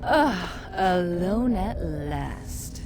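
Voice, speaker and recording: A woman's voice: fairly low pitch, lively intonation, extremely slow, noisy background, heavily acted. An actress playing a sly, seductive female spirit who teases in a drawl in a fantasy game. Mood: sardonic, teasing. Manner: teasing, drawling. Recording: game music behind the voice.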